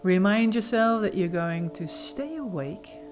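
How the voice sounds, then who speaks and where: A woman leading a guided meditation as an instructor, giving gentle, soothing, softly spoken instructions with pauses. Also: medium pitch, lively intonation, music in the background.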